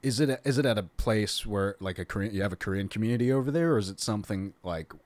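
A man's voice: fairly low pitch, quick pace, darkish tone, no room sound. A man hosting a casual podcast interview, rambling with frequent restarts and laughter. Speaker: podcast host.

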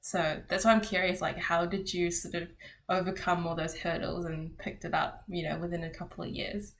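A young woman with a slightly low voice chats casually. She grew up speaking Cantonese with her parents, and she often pauses on "um" and breaks into giggles as she talks. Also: creaky, low-quality recording. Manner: hesitant, many ums, lively and giggly. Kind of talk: casual chat.

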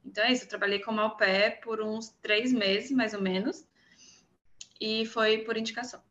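A woman's voice: a little high, fairly even intonation, normal speed, a bit bright, lo-fi sound. A young woman with a Brazilian Portuguese accent, a podcast guest casually sharing advice and experience over an online call. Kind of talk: casual conversation, giving advice.

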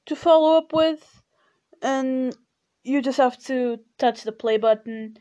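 Slow, sleepy teen girl rambling. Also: a little high, a little mellow. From Portugal. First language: Portuguese. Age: teens. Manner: rambling, full of "you know" and "like". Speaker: podcast host. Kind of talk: casual personal chat.

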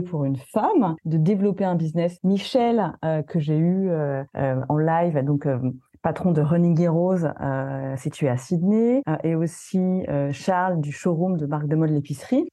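A woman hosts a relaxed business interview, talking in a chatty way with plenty of hesitations. Her voice is very dark and mellow and sits low. The recording is dry and close. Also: dry recording. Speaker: podcast host. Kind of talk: casual interview. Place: in Australia.

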